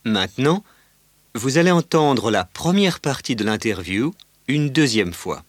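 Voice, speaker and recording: A man reading listening-test instructions in a measured, formal way. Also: mid-range voice, very animated, no room sound. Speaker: exam narrator.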